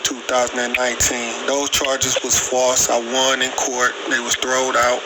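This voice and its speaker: A man calling in a complaint by phone, recounting incidents with repetition and emphatic wording. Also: mid-range voice. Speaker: caller making a complaint. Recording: noisy, radio-like phone call. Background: loud background noise.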